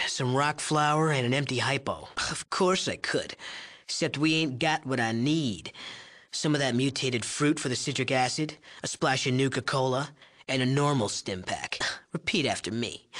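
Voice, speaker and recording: A cocky young drug-cooking genius for a video game. His mid-pitched voice swoops up and down with smug sarcasm. He is leering and whiny, and trips over his words when rattled. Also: expressive, measured pace, a bit bright. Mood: smug, impatient. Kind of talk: video game character dialogue.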